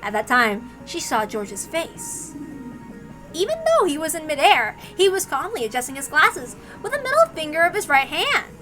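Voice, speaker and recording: A woman narrating a visual novel aloud in an expressive, dramatic storytelling style. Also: high voice, moderate pace, over music, poor audio. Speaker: narrator, reader.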